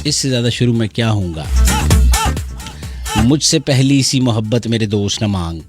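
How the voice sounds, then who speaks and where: A man's voice: medium pitch, expressive. A Pakistani man hosting a live radio show, chatting playfully, reading out listeners' messages and switching into English phrases. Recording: loud music behind the voice. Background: music.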